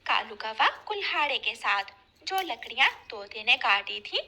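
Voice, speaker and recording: A woman narrates a cartoon story from a script. Her voice is high and strikingly bright and crisp-edged. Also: sing-song, normal speed.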